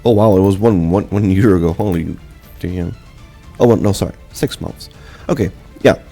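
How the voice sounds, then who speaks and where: A young adult man whose first language is Portuguese, speaking English with a Brazilian accent and giving a casual, halting channel update full of fillers and restarts. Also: sits low, creaky, warm tone, soft background music. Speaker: channel host.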